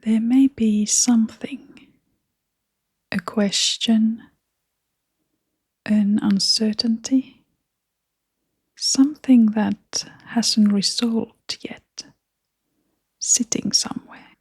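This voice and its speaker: A woman leading a guided meditation in a gentle, breathy, whispery and creaky voice, speaking in short phrases with long, unhurried pauses. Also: on the high side, fairly even intonation, no room sound.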